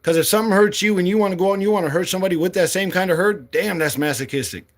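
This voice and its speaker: A man's voice: high-pitched, racing. A man in his late forties giving a blunt, impassioned monologue as a candidate, swearing freely and speaking with fire. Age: 40s. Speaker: political candidate.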